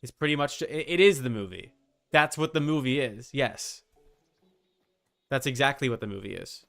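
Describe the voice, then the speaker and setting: A young adult man chatting casually as he streams a game, rambling and halting with repeated words. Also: mid-range voice, expressive, vocal fry, fairly bright tone. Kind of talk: casual livestream chat while gaming.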